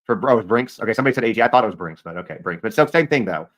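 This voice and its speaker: A man's voice: on the low side, lively intonation, racing, a bit bright. A man hosting a livestream interview, choosing his words carefully and often restarting. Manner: hedging, careful wording, many restarts. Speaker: livestream show host. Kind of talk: interviewing a guest.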